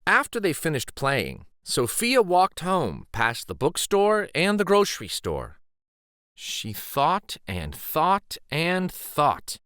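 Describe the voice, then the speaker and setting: Guy doing kids storytime narration, bright clear-cut voice, really swoopy pitch, takes his time. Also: no room sound, clean studio sound. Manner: deliberate, animated, emphatic phrasing. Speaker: host reading children's picture books aloud. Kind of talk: expressive storytime read-aloud narration.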